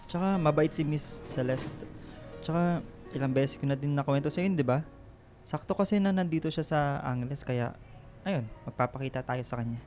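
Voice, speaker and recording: A man's voice: a little high, moderate pace, a little mellow, lightly acted. A man playing an affectionate young boyfriend in radio drama dialogue, with music behind him. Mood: reassuring. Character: Luigi, affectionate young boyfriend with a client job.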